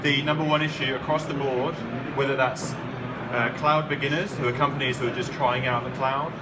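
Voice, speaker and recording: A man's voice: medium pitch, fairly even intonation, brisk. An Australian man giving a conference talk, explaining in a plain way with frequent ums. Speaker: conference speaker. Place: conference hall. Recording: distant mic, loud background hum.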